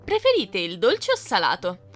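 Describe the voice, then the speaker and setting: A woman's voice: medium pitch, wildly swooping pitch, normal speed. A young woman chatting casually as she reads out and answers questions. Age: young adult. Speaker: YouTube fandubber answering fan questions. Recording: music playing behind. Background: music.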